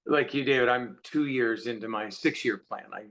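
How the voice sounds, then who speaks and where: A man's voice: medium pitch, normal speed, a bit bright, poor audio. A man talking casually and reflectively as an author guest in an interview.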